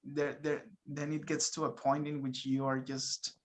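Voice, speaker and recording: A man whose first language is Spanish, co-hosting a casual school podcast and talking in halting English with many fillers and restarts. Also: a little high, completely flat delivery. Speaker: podcast co-host, school staff. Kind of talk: casual chat.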